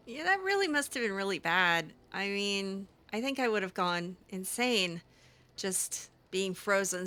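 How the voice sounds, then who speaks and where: A woman playing a friendly, flattering elf mage in improvised game roleplay, with an informal, hesitant, coaxing manner. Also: mid-range voice.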